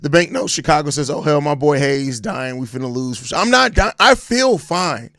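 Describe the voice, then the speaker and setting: An American man with a hoarse, raspy voice worn out from overuse, chatting casually and emphatically in slang as a solo sports podcast host. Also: on the high side, expressive, moderate pace, bright, forceful tone, no room sound, studio quality. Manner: slangy, emphatic, repeats phrases. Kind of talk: casual live-stream sports chat answering viewer comments.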